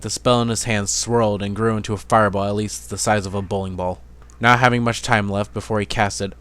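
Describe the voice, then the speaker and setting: A man's voice: on the low side, a bit bright, clear, resonant tone. A man narrating fantasy fan fiction as an amateur dramatic reading.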